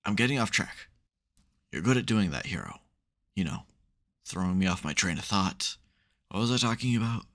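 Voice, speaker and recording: A man's voice: deep voice, lively intonation, moderate pace, long pauses, fairly bright tone. A man playing a smug, theatrical supervillain who secretly cares, in a dramatic, wry, chatty way for an ASMR roleplay. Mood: flustered, fond.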